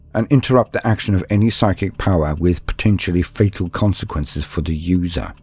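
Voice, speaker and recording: A man's voice: sits low, darkish tone, breathy edge. A man narrating scripted lore and stories as a channel host, in a formal, grandiose, theatrical manner.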